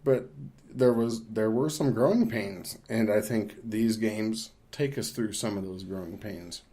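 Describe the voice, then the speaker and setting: A man co-hosting a podcast, talking through his opinions on films casually, thinking aloud in a measured way. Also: lowish voice, warm tone. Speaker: podcast co-host. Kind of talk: casual film discussion.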